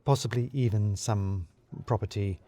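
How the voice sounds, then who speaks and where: A man voicing a discreet woman from human resources in an audio drama, speaking formally and carefully in hushed euphemisms. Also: fairly low pitch, normal speed, voice pushed down, natural voice.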